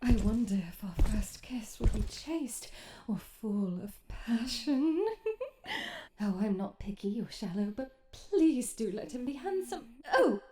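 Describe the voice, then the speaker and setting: A woman's voice: on the high side, big pitch swings, a little slow, warm tone, slight background noise. A woman playing a sheltered, romantic young princess in an ASMR audio drama, with flowery, theatrical storybook phrasing. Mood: breathless, giddy, then flustered. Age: young adult. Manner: theatrical, flowery, prim storybook phrasing, sighing, often half-whispering.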